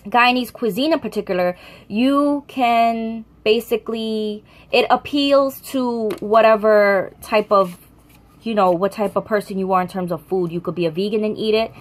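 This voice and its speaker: A young American woman talks casually to her camera at a middling pitch. She rambles, restarts her sentences and fixes her own words as she goes. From United States. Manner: rambling, chatty, frequent self-corrections. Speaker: vlogger.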